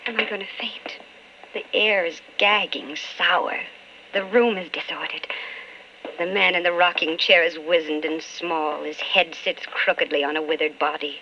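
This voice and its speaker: An actress playing a politician's reserved, doubting wife, measured and restrained in old-time radio drama style. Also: female voice, on the low side, fairly even intonation, slight background noise. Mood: brooding. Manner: measured, hushed inner monologue, restrained. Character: candidate's reserved, doubting wife, quietly narrating her thoughts. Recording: dull old radio recording with music.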